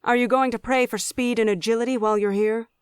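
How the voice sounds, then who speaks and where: Impetuous royal girl, actress: highish, crystal clear, imperious, fantasy drama. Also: fairly even intonation, fast talker, no room sound, studio quality, close to an everyday voice. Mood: teasing, haughty. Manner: imperious, sharp-tongued. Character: haughty, impetuous young princess. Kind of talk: fantasy audio drama acting.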